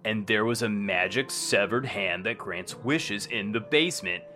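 Bright, forceful smug business bro. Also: male voice, lowish voice, unhurried, soft background music. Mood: smug boasting. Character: smug, shady bro businessman, casually heartless. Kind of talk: comedy radio play acting.

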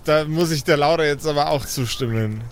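Male role-play podcaster, highish voice, casual slangy delivery, exasperated edge. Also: fairly quick, clear, resonant tone. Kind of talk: casual improvised in-character dialogue.

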